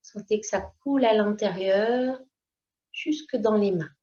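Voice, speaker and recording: A woman teaching yoga nidra, leading a slow guided meditation in a calm, unhurried way with short, gentle instructions. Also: mid-range voice. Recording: dull, lo-fi sound.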